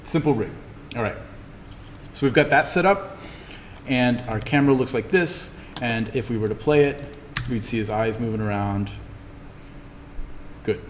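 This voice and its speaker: A man teaching an introductory animation class, talking informally through software steps and thinking aloud as he demonstrates. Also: medium pitch, measured pace, pauses often. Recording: steady noise behind the voice.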